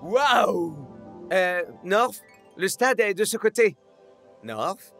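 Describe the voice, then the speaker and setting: High, creaky young footballer. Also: male voice, expressive, extremely slow, a bit bright, soft background music, full character voice. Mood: upbeat. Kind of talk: French cartoon dubbing.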